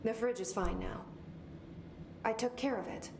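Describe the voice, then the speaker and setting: Terse game heroine, roomy sound. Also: female voice, a little high, a little slow. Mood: grave, understated. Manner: terse, understated, serious. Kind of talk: video game dialogue acting. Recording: roomy, clearly noisy game audio in stream.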